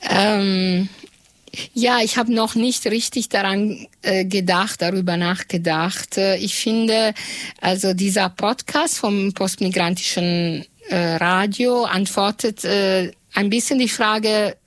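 A woman's voice: medium pitch, fairly even intonation, no echo. Earnest woman, dry sound. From Italy. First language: Italian. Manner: earnest, reflective, pauses often to search for words. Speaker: radio journalist and guest. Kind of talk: interview answers.

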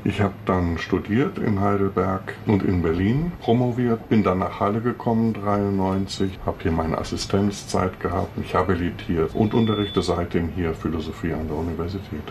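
Low-voiced man in his sixties. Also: normal speed, few pauses, darkish tone, slight background noise. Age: 60s. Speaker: philosophy professor guest. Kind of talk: studio interview answers.

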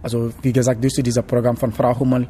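Halting young man, warm voice. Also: medium pitch, level tone, slight background noise. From Senegal. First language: not German. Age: young adult. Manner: halting, searching for words with many hesitations. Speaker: interviewee, trainee cook. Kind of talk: casual interview.

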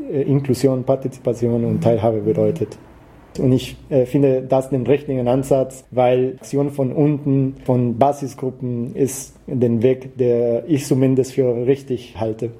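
Very dark-voiced man with Latin American accent. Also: mid-range voice. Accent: Latin American Spanish. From Costa Rica. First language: Spanish. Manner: halting, searching for words. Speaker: activist interviewee. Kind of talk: interview answers.

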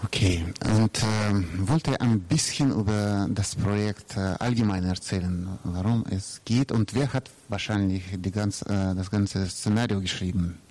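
A man's voice: lowish voice, unhurried, a little mellow. A man interviewing guests, putting casual questions in a hesitant way.

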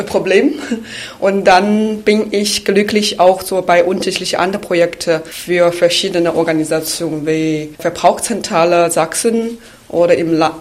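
A woman from China with a Chinese accent, a non-native German speaker talking as a radio guest, often searching for words. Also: lowish voice. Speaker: radio guest, integration project manager. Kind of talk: spoken self-introduction in an interview.

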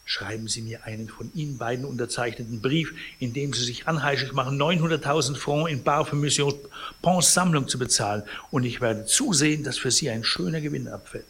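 A man voicing a cold, cunning male lawyer who speaks in a smooth, ingratiating way. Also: mid-range voice, a little fast, a bit bright, a little hiss or hum, natural voice. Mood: scheming.

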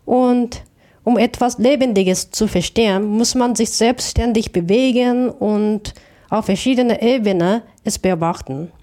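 A woman from Japan with a Japanese accent, giving reflective interview answers in a thoughtful, halting way with self-corrections. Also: a little high, dark tone. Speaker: interview guest, artist.

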